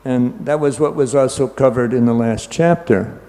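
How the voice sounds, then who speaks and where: An older man giving an informal scripture class, talking loudly and in a halting, rambling way full of ums and you-knows. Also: mid-range voice, in a large hall. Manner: loud, says he has a loud voice.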